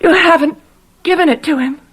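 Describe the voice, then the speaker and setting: High-pitched, fervent captive wife. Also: female voice, a little fast, fairly bright tone, low-quality recording. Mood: anxious, loyal. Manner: breathless, fervent, emotional. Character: distraught, devoted wife held captive. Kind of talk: old-time radio drama acting.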